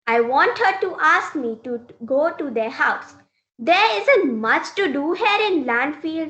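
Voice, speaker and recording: High-voiced, firm mother played by a woman, echoey room. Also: a bit bright, muffled recording, full character voice. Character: strict, advising mother of a talkative young girl. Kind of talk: classroom reader's theater dialogue. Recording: roomy, echoing room sound.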